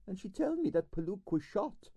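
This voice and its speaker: Storybook role: vain older Russian ballerina, piercing falsetto, Russian accent, imperious and emphatic, very dry close sound. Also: male voice, sing-song, normal speed, vocal fry, mellow, breathy edge, no room sound, full character voice. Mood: breezy, dramatic. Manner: dramatic, emphatic, broken English.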